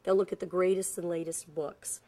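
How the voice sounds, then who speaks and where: A woman's voice: fairly low pitch, level tone, moderate pace, warm tone. A woman who works in a school district, explaining things earnestly in a documentary interview. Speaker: school staff member.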